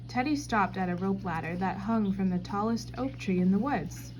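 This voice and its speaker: A woman reading a children's storybook aloud in scripted, expressive narration. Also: mid-range voice, moderate pace, noisy background.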